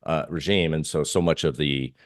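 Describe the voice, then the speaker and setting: Male interview guest, extremely low voice, conversational and halting, dry recording. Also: fairly even intonation, dry recording. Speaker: trader guest being interviewed. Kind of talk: casual interview talk on markets.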